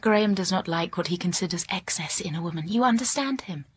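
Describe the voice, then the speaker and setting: An actress playing a reserved, melancholy and intense young teacher in a period drama, speaking in formal, measured, hushed phrases. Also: female voice, on the low side, lively intonation, slight background noise, lightly acted. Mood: restrained sorrow. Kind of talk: period literary audio drama acting and narration.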